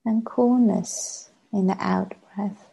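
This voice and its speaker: A woman, a native Chinese speaker, leading a guided meditation as a teacher, gently and with long unhurried pauses. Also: mid-range voice, fairly even intonation, creaky, breathy edge, poor audio.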